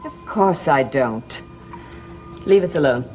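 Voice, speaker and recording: An actress playing a sly, mocking society gossip in a gothic soap opera, arch, needling and condescending. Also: female voice, very deep voice, animated, slow pace, a little mellow, heavily acted. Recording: music behind the dialogue.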